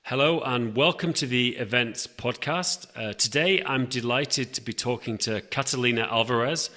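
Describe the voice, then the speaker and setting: A man's voice: medium pitch, normal speed, bright, forceful tone, in a large hall. A man hosting an events podcast, upbeat, often restarting and repeating words as he talks. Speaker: podcast host. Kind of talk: podcast intro and interview.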